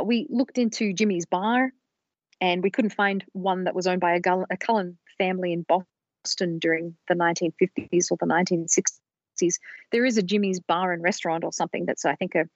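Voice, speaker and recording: A woman's voice: fairly low pitch, fairly even intonation, brisk, dry recording. Woman explaining research, dull lo-fi sound. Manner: careful, explanatory, with restarts. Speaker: podcast co-host presenting research. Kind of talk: casual research chat. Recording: dull lo-fi dry recording.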